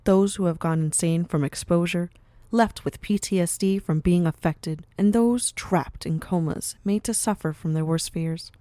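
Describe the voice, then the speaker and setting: Commanding mafia matriarch played by a woman: lowish voice, cultured, poised and formal, sly warmth, close dry sound. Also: normal speed, dry recording, natural voice. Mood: earnest, businesslike. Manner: poised, grandly formal, uses endearments like dearie. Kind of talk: scripted audio roleplay acting.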